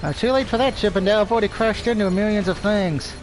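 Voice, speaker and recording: A man's voice: sits high, quick pace, soft background music, low-quality recording. A man casually commentating on a live game stream, rambling and swearing, with opinions on everything and a speech impediment.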